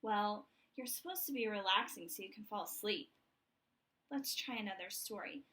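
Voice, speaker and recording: A woman voicing a patient, weary father chicken who gently chides at bedtime in a picture-book read-aloud. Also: medium pitch, normal speed, voice pushed down, close to an everyday voice. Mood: gently chiding. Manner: gently chiding.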